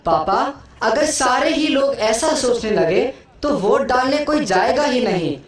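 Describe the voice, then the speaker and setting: An earnest schoolchild played by a woman pleads with elders in a puppet show. The highish voice is declamatory, picked up from afar with heavy echo. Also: expressive, unhurried. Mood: earnest, pleading. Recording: very echoey hall, distant mic.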